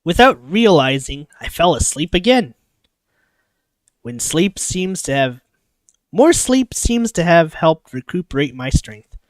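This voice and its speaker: An expressive streamer reading a visual novel aloud and breaking off into chatty, rambling asides. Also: medium pitch, unhurried, dry recording. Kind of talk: live playthrough, narration with character voices and asides.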